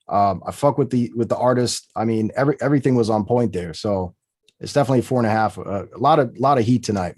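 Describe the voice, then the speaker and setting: A man's voice: mid-range voice, a little mellow, no room sound. A man hosting a live music review stream, talking casually with fillers and upbeat praise. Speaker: host.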